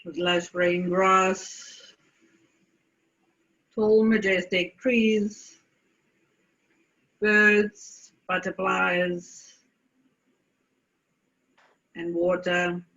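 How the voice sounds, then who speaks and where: A woman guides a meditation at a very slow pace, leaving long pauses between deliberate phrases. Her voice is bright with a noticeable creak. The recording is slightly dull and muffled. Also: on the low side, steady tone. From South Africa.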